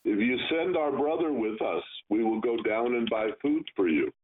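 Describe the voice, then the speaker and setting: A man's voice: mid-range voice, lively intonation, moderate pace, a little hiss or hum, lightly acted. A man reading the part of a resolute grown son in a scripture reading, over a tinny radio sound. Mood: firm.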